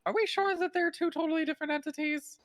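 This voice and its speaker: A woman's voice: extremely high pitch, fairly even intonation, fast. A woman improvising in online game roleplay as a sardonic, incredulous business owner. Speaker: role-player in a live-streamed online game. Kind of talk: improvised in-character conversation.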